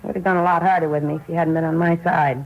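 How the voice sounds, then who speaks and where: Quick-talking, earnest ex-convict woman played by an actress. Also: on the low side, fairly even intonation, natural voice. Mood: earnest, grateful. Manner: earnest, colloquial, plain-spoken. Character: grateful, plain-spoken female ex-convict going straight. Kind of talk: 1950s radio drama acting, conversational. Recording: muffled, faintly noisy vintage radio recording.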